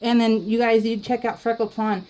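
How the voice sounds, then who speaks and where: A woman chatting casually to her viewers while she scrapbooks, giggling often and filling pauses with ums and restarts. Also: fairly high pitch, steady tone, darkish tone, low-quality recording. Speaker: scrapbooking YouTuber. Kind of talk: casual chat while crafting.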